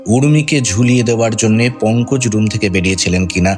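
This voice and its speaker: A man playing a probing detective who questions in an insinuating, deliberate way in a radio drama. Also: fairly low pitch, darkish tone, faint music behind, natural voice. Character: probing, needling male detective, coolly provocative.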